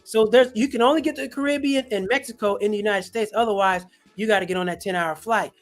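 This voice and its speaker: Animated, slangy comedian host. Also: mid-range voice, quick pace, faint music behind. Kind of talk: casual livestream chat.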